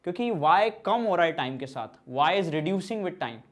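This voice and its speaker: High-voiced man teaching physics slowly. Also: lively intonation. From central India. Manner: mixes Hindi and English, reads out student questions and answers them. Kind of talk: online class lecture.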